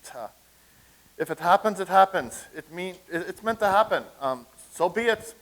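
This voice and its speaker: A man's voice: high-pitched, animated, normal speed, rough recording. A man reading the part of a weary, fatalistic man adrift in time, with a hesitant, stammering delivery. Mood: resigned. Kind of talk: staged reading.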